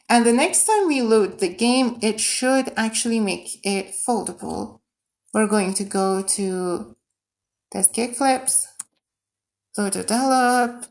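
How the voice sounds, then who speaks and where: Slow-paced Persian-accented woman streamer. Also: mid-range voice, a little mellow. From Iran. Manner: thinks aloud, talking to viewers. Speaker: livestreamer and game modder. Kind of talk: casual livestream chat while coding.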